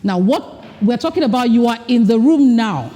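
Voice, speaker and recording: A woman's voice: fairly high pitch, lively intonation, normal speed, warm tone, big-room sound. A woman leading a live workshop, speaking directly to her audience and coaching them through exercises. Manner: direct, coaching, acts out mock dialogue. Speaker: speaker at a women's leadership retreat. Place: large event hall with an audience. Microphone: recording mic, not amplified.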